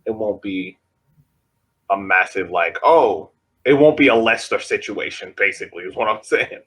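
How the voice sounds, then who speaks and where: A man co-hosting a casual sports podcast, talking in halting bursts, restarting and laughing between points. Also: lowish voice, a bit bright, husky, rough recording. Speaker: podcast co-host.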